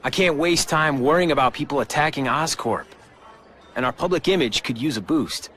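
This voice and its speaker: A man's voice: a little high, normal speed, a bit bright, close to an everyday voice. Guarded young American corporate heir, played by a man. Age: young adult. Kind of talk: video game voice acting.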